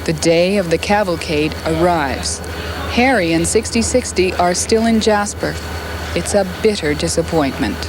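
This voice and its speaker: A woman narrating a documentary in a calm, measured, scripted way. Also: fairly low pitch, background noise. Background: music.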